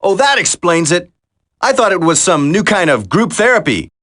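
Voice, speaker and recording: A man's voice: sits high, big pitch swings, normal speed, fairly bright tone, full character voice. A man voicing an earnest, determined young commando in a video game, urgent in delivery.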